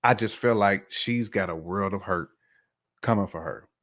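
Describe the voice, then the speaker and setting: A man's voice: deep voice, moderate pace, fairly bright tone. An American man co-hosting a casual relationship talk show, giving emphatic opinions, repeating his points and acting out imagined quotes. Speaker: podcast co-host.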